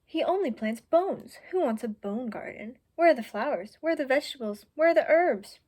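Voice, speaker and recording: A young woman voicing a meddling, smooth-talking male fox stranger in a storybook, with an insinuating, faux-polite manner. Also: sits high, expressive, normal speed, a little mellow, pitched above the performer's own voice.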